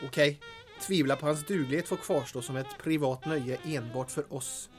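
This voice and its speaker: A man playing a droll, wisecracking henchman in a radio drama, with a dry, deadpan delivery. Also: a little high, music in the background, close to an everyday voice. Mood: dryly scheming. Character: wisecracking, dryly absurd henchman sidekick.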